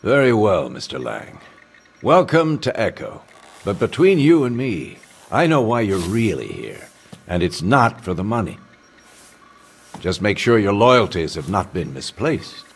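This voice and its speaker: An actor playing a calculating commander in a video game cutscene, speaking in a measured, formal, quietly menacing way. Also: male voice, lowish voice, big pitch swings, creaky, breathy edge, heavily acted. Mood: knowing, cool. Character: calculating male commander offering veiled threats and deals.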